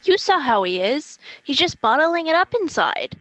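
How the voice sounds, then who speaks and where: A woman plays a fretful friend in a radio drama. Her voice is high. She speaks with worry and frustration. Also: very animated, normal speed, a bit bright. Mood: worried, frustrated. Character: worried, frustrated optimistic young woman, Bonnie. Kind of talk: radio play acting.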